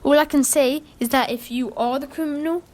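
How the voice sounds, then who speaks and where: A cool, wry gentleman from a radio play, played by a woman. The voice sits a little high. The delivery is glib and teasing, full of dark humour. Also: fairly quick, close to an everyday voice. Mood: wry, probing.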